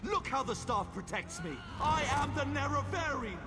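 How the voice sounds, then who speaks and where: An arrogant male zealot in a fantasy video game. His very high voice swings boldly as he declaims with boastful force. It rings with long reverb over clear music. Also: animated, moderate pace. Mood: defiant, angry. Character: arrogant male zealot, defiant self-proclaimed chosen one.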